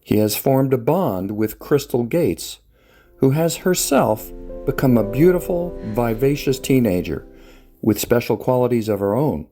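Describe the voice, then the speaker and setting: A man hosting and reading scripted narration to introduce a dramatic scene, in a measured storyteller's manner. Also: medium pitch, expressive, music in the background. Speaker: host, writer-director.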